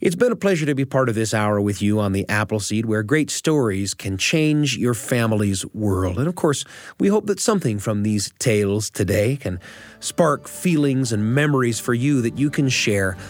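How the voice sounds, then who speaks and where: A man's voice: mid-range voice, lively intonation, moderate pace, no room sound, faint music behind. An American man narrating a scripted storytelling radio show as its host.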